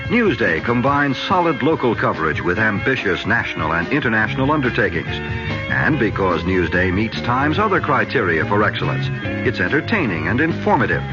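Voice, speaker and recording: A man reading a scripted 1970s radio advertisement in a polished, emphatic way. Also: lowish voice. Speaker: commercial announcer. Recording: muffled vintage radio, music bed.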